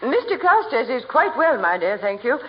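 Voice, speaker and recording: Swooping, haughty high-society matron. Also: female voice, sing-song, moderate pace, a little hiss or hum. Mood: smugly genteel. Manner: grand, genteel, condescending. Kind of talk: 1940s radio comedy acting. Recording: muffled 1940s radio recording.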